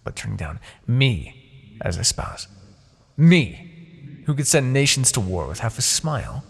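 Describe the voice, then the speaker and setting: A man playing a smug, seductive, possessive Demon King in a fantasy ASMR roleplay, with a gravelly, breathy voice and theatrical, taunting delivery. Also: medium pitch, big pitch swings, exaggerated voice. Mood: wounded, proud. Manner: theatrical, taunting, drawn-out phrasing with soft asides.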